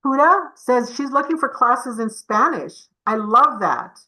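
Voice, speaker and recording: Woman presenter, encouraging, asks the audience questions, bright voice, unhurried. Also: a little high, lively intonation, rough recording. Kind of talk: community webinar presentation.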